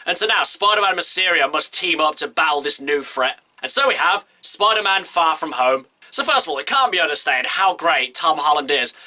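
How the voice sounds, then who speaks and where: Young guy reviewing a movie, bunged up from a cold, talks super fast, bright punchy voice. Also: fairly high pitch, animated. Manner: chatty and enthusiastic, quick run-on sentences. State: stuffy nose from a cold. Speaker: film reviewer. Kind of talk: casual movie review to camera.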